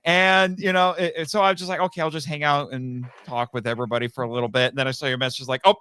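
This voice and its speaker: A man's voice: on the high side, flat delivery, quick pace, pushed and bright, clear, resonant tone, dry recording. A man talking casually as a guest on a fan podcast, telling stories and acting out quoted remarks.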